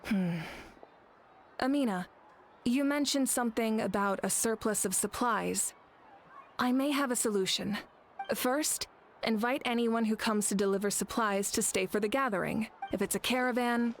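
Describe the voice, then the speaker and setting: Woman playing measured, authoritative goddess-ruler, faint noise. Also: on the high side, lightly acted. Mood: decisive. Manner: measured and formal. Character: composed, authoritative, compassionate female ruler deity. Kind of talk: anime game voice acting.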